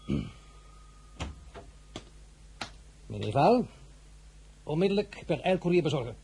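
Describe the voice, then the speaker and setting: An actor playing an imperious, boastful French emperor in a historical radio drama, pompous and declamatory, by turns mocking and threatening. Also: male voice, on the high side, big pitch swings, moderate pace, gravelly, noisy background. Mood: cold, menacing. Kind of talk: Dutch-language historical radio drama acting.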